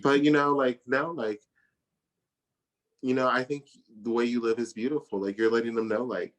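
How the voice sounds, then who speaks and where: A woman's voice: very deep voice, fairly even intonation, moderate pace. Chatty playful woman in her thirties. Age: 30s. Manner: playful, chatty, laughs easily. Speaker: interview guest. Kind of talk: casual chat.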